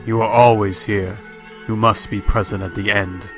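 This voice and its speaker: A man's voice: fairly low pitch, little pitch movement, normal speed, over loud music, lightly acted. A man playing a formal, solemn and deferential imperial servant in an audio drama.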